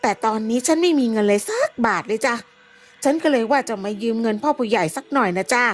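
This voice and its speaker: A rough, cheeky male villager who swears freely and teases with a fawning edge, put on by a woman narrator. The voice is pitched high and brash, as in a told ghost story. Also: lively intonation, fast talker. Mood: teasing fawning.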